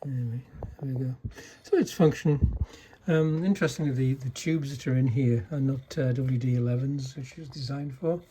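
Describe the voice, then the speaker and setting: A man giving a casual, unscripted technical demonstration in a halting, low-key way, like a restorer explaining equipment. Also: mellow, rough recording. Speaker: vintage radio restorer demonstrating a set.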